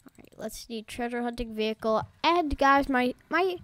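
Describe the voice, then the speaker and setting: A woman's voice: fairly high pitch, lively intonation, moderate pace, fairly bright tone. A woman gaming YouTuber giving casual, rambling let's-play commentary, talking straight to her viewers, her voice a little off from allergies. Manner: chatty, rambling, talks to viewers as "guys". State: slightly off voice from allergies.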